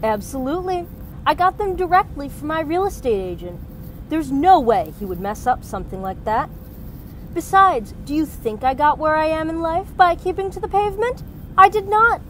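A woman's voice: high-pitched, animated, normal speed, slight background noise. A woman playing a pompous, entitled rich husband who is oblivious to everyone around him, in a radio play. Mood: grandiose. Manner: pompous, grandiose.

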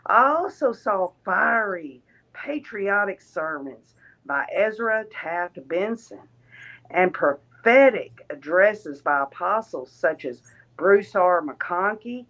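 A woman reading a written first-person account aloud in a measured way, occasionally stumbling over words. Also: mid-range voice, expressive, a bit bright, muffled recording.

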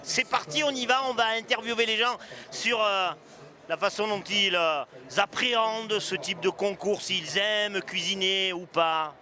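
A man's voice: high voice, fairly even intonation, a crowd in the background. A man in his forties with a Marseille accent, teasing and joking his way through vox-pop interviews in a busy indoor shopping mall with a handheld mic. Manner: teasing, playful, quick banter.

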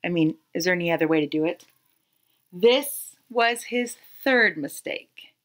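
A woman in her thirties reading a children's picture book aloud expressively, with dramatic pauses and emphasis. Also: on the low side, lively intonation. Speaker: read-aloud storyteller.

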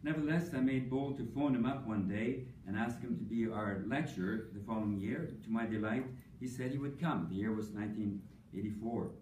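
Older man, conference panelist, prepared tribute speech, measured, dry humor, distant mic, echoey hall. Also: on the low side, a little mellow, slight background noise. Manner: measured, reads prepared remarks with frequent ums and dry humor. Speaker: panelist speaking at an academic tribute session.

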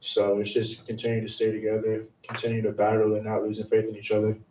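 A young man, a college basketball player answering press questions in a calm, plain way. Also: fairly low pitch, fast. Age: young adult. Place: press conference room. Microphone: table mic at a distance. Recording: echoey room, distant mic, faint hum.

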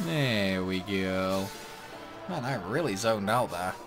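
Male game streamer, rambling and thinking aloud, lively voice, game music underneath. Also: low voice, takes it slowly. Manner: rambling, talks to himself while playing. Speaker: gaming channel host. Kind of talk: casual gameplay commentary.

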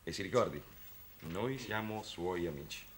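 Deep-voiced, kindly young priest. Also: male voice, lively intonation, moderate pace, a little hiss or hum. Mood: gentle, firm. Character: kindly, gentle but firm young Italian priest. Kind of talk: 1960s television drama acting. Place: studio set with a group of children.

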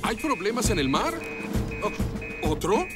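A man's voice: high voice, sing-song, unhurried, loud music behind the voice. A man voicing a capable, friendly cartoon firefighter with a Latin American Spanish accent, earnest and commanding. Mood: urgent. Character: heroic, capable, friendly adult male firefighter. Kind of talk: cartoon dub acting.

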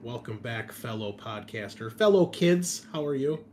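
A man's voice: medium pitch, animated, normal speed, a little mellow, a little hiss or hum. A man speaking as host and game master, chatting casually and informally to listeners and players. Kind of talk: casual hosting chat for an actual-play podcast.

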